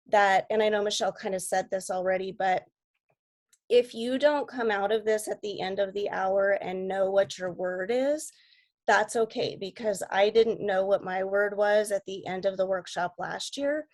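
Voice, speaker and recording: A woman with a slightly raspy voice from a cold, talking casually and earnestly as a coach on a video call. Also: medium pitch, darkish tone. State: sick with a cold. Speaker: guest coach.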